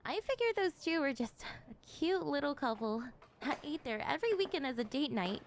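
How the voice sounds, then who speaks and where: A high-pitched young woman skater, acted by a woman speaks in casual slang to an old friend. She is emotive and halting, affectionate with an apologetic streak. It is an ASMR roleplay recording with a faint layer of background noise. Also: big pitch swings. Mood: warm, nostalgic. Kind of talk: ASMR roleplay acting, casual one-sided dialogue.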